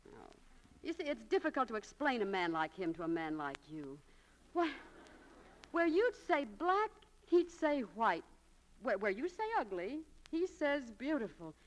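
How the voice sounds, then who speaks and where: A high-strung young American shopgirl in a 1940s radio play. Her voice sits fairly high, and she speaks with a lofty, dramatic air. She comes across as proud and romantic. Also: very animated, unhurried, rough recording. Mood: dreamy.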